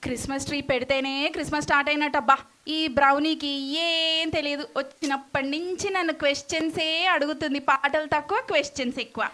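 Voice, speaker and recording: A woman's voice: sits high, steady tone, brisk, hardly any pauses, bright, forceful tone. A woman voicing a naive, boastful child puppet in a children's church show, speaking. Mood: mildly exasperated. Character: Goldie, chatty naive excitable child puppet, girl.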